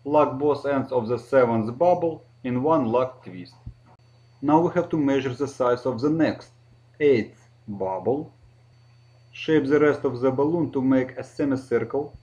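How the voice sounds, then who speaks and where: Slow Russian-accented man, mellow voice. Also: medium pitch, lo-fi sound. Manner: slow and careful, with frequent hesitations. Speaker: balloon twisting instructor. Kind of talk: step-by-step tutorial voice-over.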